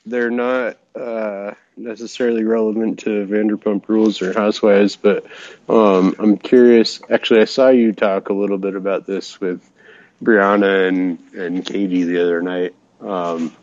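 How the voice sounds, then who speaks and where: A very deep-voiced man calls in from outdoors in the cold, sniffly and hesitant, full of ums and polite apologies. The line sounds dull and lo-fi. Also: flat delivery, moderate pace, a little mellow, dry recording. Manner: hesitant, filler-heavy, polite. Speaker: caller. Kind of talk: casual phone-in question.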